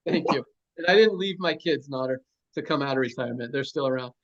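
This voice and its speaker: A man's voice: medium pitch, fast talker, a bit bright, muffled recording. A man hosting a motivational team video call, rallying the group and introducing speakers with upbeat energy. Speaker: host.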